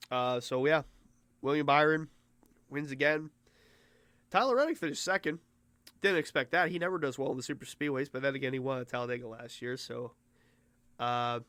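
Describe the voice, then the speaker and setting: A man hosting a racing podcast, recapping the race in a rambling, excitable casual way while his voice goes hoarse. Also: fairly high pitch, no room sound. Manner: rambling and excitable, mimics officials in mock voices.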